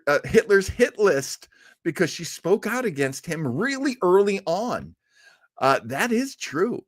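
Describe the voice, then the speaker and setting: Lively, chatty male stream host. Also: a little high, no echo, clean studio sound. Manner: chatty and enthusiastic, with frequent fillers and restarts. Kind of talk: casual livestream chat.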